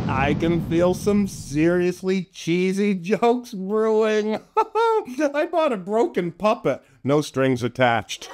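A middle-aged man presenting a children's show as a playful entertainer, with a theatrical, clownish manner and corny jokes. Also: high voice. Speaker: children's entertainer and storytime host.

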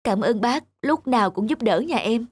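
Meek, high-voiced young peasant mother, voiced by an actress. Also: lively intonation, moderate pace, a little mellow, a little hiss or hum, lightly acted. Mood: grateful, worried. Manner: polite, deferential. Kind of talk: animated folk-tale dialogue.

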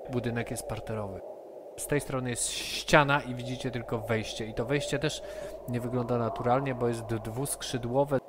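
A man narrating as game master in a steady, middle-pitched voice, laying out each scene patiently, his speech picked up over an online call with a heavy layer of hiss and noise beneath it. He describes places and dangers with calm detail. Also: moderate pace. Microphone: computer headset or desk mic, video call. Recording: noisy online session audio.

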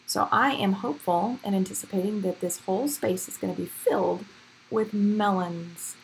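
A woman's voice: mid-range voice, normal speed, creaky, rough recording. A woman giving a casual, upbeat, chatty tour as a vlog host, speaking directly to viewers outdoors into a handheld camera. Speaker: host of a home gardening vlog. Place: outdoors in a backyard garden in the evening. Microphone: handheld camera or phone. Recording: outdoor handheld audio with background noise. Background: faint outdoor ambient noise.

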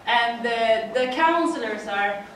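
A woman's voice: a little high, lively intonation, takes it slowly, a bit bright, slight background noise. Swedish-accented woman in an echoey hall. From Sweden. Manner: chatty, laughs often, many fillers. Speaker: conference speaker. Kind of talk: presentation talk. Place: dim conference room. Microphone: far room mic. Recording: echoey room from far off.

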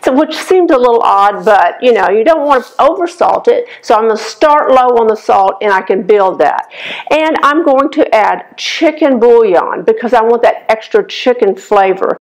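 Chatty older woman, slightly raspy voice. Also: a little high, lively intonation, normal speed, rough recording. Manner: chatty, explains each step to the viewer. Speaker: cooking show host. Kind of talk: casual cooking demonstration. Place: home kitchen, indoors.

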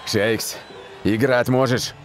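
Man playing unhurried cartoon team captain. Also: mid-range voice, steady tone, soft background music, close to an everyday voice. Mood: questioning, thoughtful. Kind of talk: cartoon dubbing.

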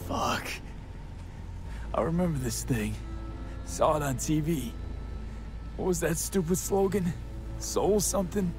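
An actor playing a defiant, desperate young street mercenary in a video game, brash, sweary and emotionally raw. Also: male voice, on the high side, takes it slowly, pauses often. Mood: frustrated. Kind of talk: cinematic video game dialogue. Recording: music behind the voice.

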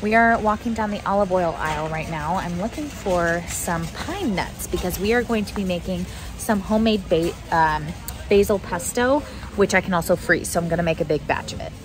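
A woman's voice: on the low side, normal speed, over music. A young adult American woman chatting casually and informally to viewers, like a shopping vlogger. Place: large indoor warehouse store. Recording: noisy warehouse store background hum.

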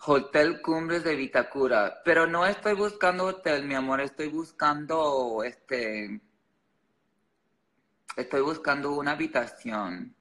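Male livestreamer, American accent, English native. Higher-pitched, unhurried delivery, sassy and slangy, chatting directly to viewers. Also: fairly bright tone. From Chicago, United States. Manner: switches between English and Spanish, sassy, slangy. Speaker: livestream host. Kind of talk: casual livestream chat with viewers.

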